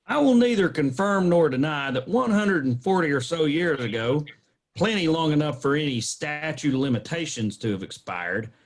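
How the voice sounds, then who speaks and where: A man's voice: on the high side, animated, normal speed. A man playing an evasive, pompous small-town lawyer in a comic radio drama, talking in wordy legal phrases. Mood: evasive, stubborn. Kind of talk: comic old-time radio drama acting.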